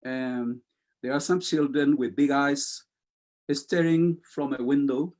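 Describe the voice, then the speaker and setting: A man with a Spanish accent, a poet and interview guest, speaking slowly and hesitantly with many pauses and fillers, and reading poems aloud. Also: medium pitch, fairly even intonation. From Chile. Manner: slow, hesitant, many fillers, soft-spoken.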